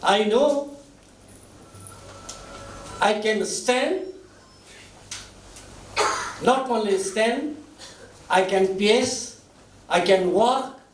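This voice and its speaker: Man, high voice, very slow halting speech, echoey hall from a distance. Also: slight background noise. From Nepal. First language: Nepal Bhasha. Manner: slow halting delivery in short phrases, deeply moved. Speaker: author giving thanks at a book launch. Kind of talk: emotional formal speech. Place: event hall.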